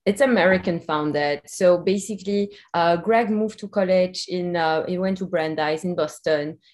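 A woman's voice: lowish voice, moderate pace, a little mellow. A woman from Belgium with a Belgian accent, a company co-founder chatting as a podcast guest in an upbeat, chatty way. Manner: upbeat, chatty, laughs easily. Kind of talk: podcast interview.

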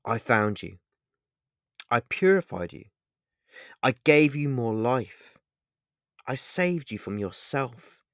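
Solemn otherworldly stranger, booming and a bit raised, man reading it, dry sound. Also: very animated, slow, pauses often, a bit bright, no echo, exaggerated voice. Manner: solemn, commanding. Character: mysterious booming male angel-like stranger.

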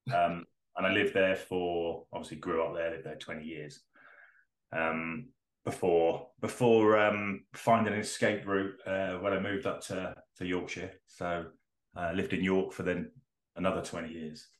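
A man's voice: low-pitched, steady tone, unhurried, echoey room, poor audio. A middle-aged man from Norfolk with a Norfolk accent, a podcast guest chatting casually and rambling with lots of fillers and restarts. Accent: Norfolk English. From England (Norfolk). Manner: rambling, self-correcting, many fillers.

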